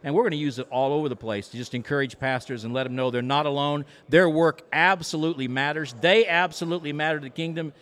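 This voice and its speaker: A man's voice: fairly high pitch, fast talker, hardly any pauses, a bit bright. An American man from a small town, a pastor talking casually as a podcast co-host before a live audience. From small-town United States.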